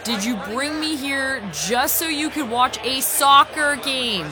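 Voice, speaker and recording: A woman's voice: high voice, slow, a crowd in the background, noisy background. A woman playing an exasperated, urgent vampire hunter in a comic radio drama.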